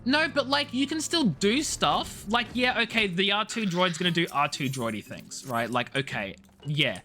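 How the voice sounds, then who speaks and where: A man chatting casually as a live game streamer, in an animated, expressive way with emphatic, drawn-out words. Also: sits high. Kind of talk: casual game stream chat, reading story dialogue and viewer messages aloud. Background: game music.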